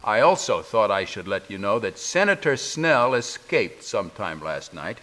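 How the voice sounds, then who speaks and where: A middle-aged man playing an authoritative resistance commander giving a formal, deliberate briefing in a video game. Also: fairly low pitch, very animated, bright, forceful tone. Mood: grave, formal.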